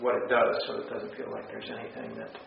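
A man's voice: rumbling low, sing-song, a little fast, vocal fry. A man tentatively sharing personal reflections in a group, searching for the right words. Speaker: group participant. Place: reverberant indoor group room. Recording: very echoey room, muffled, faint noise.

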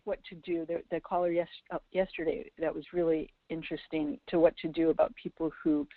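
A woman calling in with a reflective question, hesitant and halting as she thinks aloud over a phone line. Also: sits low, level tone, dry recording. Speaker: caller. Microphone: phone call. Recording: narrowband phone line sound.

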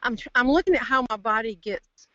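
Woman, slightly high voice, halting reflective caller, searching for words, dry lo-fi phone sound. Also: a bit bright, no echo. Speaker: caller to a group call. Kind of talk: casual reflective sharing. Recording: dull dry phone line.

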